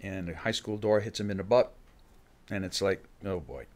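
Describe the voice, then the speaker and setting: An older man, a fight coach, talking in a podcast studio and often restarting his sentences. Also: low-pitched. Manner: halting, many restarts, acts out fighters' thoughts. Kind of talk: casual interview talk. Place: studio room with bookshelves. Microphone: studio mic on a boom arm.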